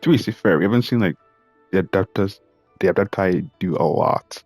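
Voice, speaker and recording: A woman's voice: rumbling low, normal speed, darkish tone, slightly rough, no echo. A woman giving casual, offhand commentary while playing through a video game, chatty and conversational. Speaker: game streamer. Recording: faint game music behind.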